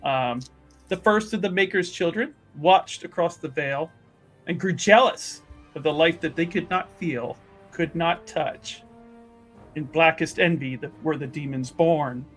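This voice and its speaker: Slow, higher-voiced man narrating a roleplaying game. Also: fairly bright tone, soft background music, low-quality recording. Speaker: tabletop roleplaying player. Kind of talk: narrating own character in a tabletop game.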